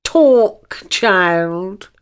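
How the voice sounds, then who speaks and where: A woman voicing a haughty, dreamy male unicorn in a storybook, speaking in a drawn-out, imperious way. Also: medium pitch, lively intonation, clear, resonant tone. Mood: lofty.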